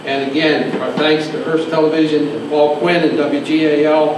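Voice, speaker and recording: A man's voice: a little high, little pitch movement, moderate pace, far from the mic. A man speaking as a county commissioner at a press conference, earnest and grateful. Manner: earnest, thanking partners. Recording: echoey room, mic from afar, noisy.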